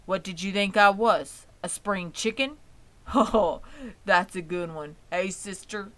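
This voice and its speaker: A woman voicing an enormous, over-familiar male pig with a big hearty voice and a loud, jovial, folksy manner. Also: mid-range voice, unhurried, dry recording, natural voice.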